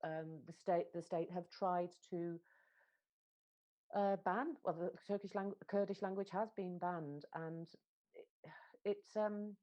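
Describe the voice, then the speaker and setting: A woman with a fairly low, very soft-edged mellow voice talks earnestly in a discussion. She reworks phrases as she makes an impassioned point. The audio is slightly dull and lo-fi. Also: fairly even intonation, takes it slowly, pauses often. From Wales. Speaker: literary translator.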